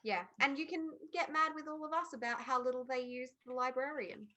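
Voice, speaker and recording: A woman's voice: high voice, fairly even intonation, brisk, a loud crowd. A woman on a podcast panel, talking casually and giving her opinions in an emphatic, insistent way.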